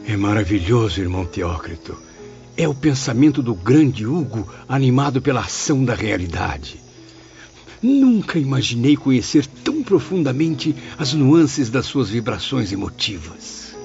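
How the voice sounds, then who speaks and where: A Brazilian man playing an earnest, admiring male spirit apprentice in an audiobook drama, with formal, expressive speech. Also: medium pitch, measured pace, creaky. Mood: awed. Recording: slightly dull audio over music.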